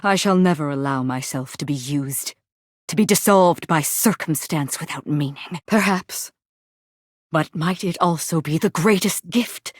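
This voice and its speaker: A woman voicing a proud, fervent warrior woman in a video game, theatrical and declamatory, in archaic high-flown speech. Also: a little slow, no echo, studio quality. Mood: solemn, resolved. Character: proud, fervent female warrior, grandiose and lovestruck. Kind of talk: theatrical game character acting in archaic English.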